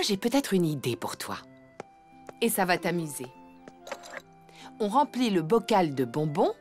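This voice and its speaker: Woman playing a lively, motherly cartoon mom. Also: mid-range voice, lightly acted. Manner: motherly, encouraging. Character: encouraging, cheerful, capable elephant mother. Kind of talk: French children's cartoon voice acting.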